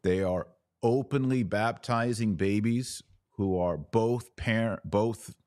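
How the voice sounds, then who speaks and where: A low-pitched American man speaks as a podcast commentator on church news. His delivery is opinionated and emphatic, broken by deliberate pauses and dry asides. The sound is close and free of room echo. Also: darkish tone.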